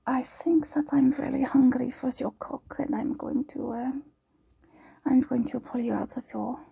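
Flirty tavern maid, put on by a woman; fake German accent, husky, dark-toned whisper, unhurried. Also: high-pitched, full character voice. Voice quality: breathy, whispered. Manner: giggly, teasing, slow. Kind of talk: roleplay audio.

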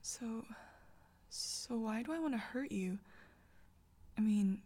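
A woman voicing an intense, tender young girlfriend in a whispered audio roleplay. She speaks in a soft, close whisper and stammers when she gets flustered. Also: a little high, expressive, takes it slowly. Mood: tender confession.

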